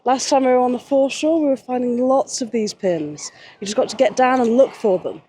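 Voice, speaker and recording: A woman's voice: sits high, brisk, mellow. A woman presenting casually as she searches outdoors on a river foreshore. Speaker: presenter of a mudlarking video. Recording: outdoor ambient noise.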